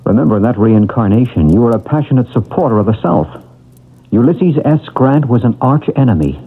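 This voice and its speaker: Calm regression expert, husky. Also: male voice, mid-range voice. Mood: reassuring. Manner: soothing, measured and hypnotic. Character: calm male past-life regression expert. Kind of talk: 1970s radio drama acting. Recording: tinny old radio broadcast.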